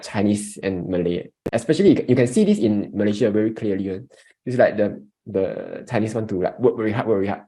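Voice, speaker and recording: A man's voice: on the low side, dark tone. A man with a Singaporean English accent, telling everyday stories in a casual podcast chat. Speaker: podcast co-host.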